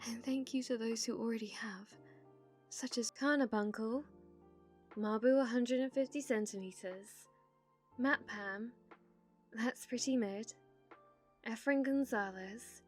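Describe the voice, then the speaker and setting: A British woman speaking softly and breathily as an ASMR performer addressing listeners. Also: on the high side. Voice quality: soft, breathy. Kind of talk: soft-spoken ASMR sign-off.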